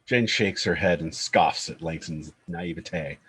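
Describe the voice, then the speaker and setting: A man's voice: low-pitched, measured pace, a bit bright, husky. A man narrating screenplay stage directions at a table read.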